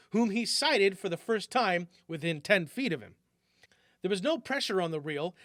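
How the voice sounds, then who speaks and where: Male storyteller, expressive read-aloud, high voice, tight and dry sound. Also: no room sound. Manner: lively, raises pitch and volume for shouted character lines.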